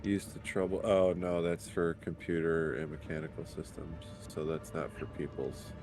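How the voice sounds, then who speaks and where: Male tabletop player, deep voice, casual and wry with folksy turns, music underneath. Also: flat delivery, moderate pace. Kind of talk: casual game table chat.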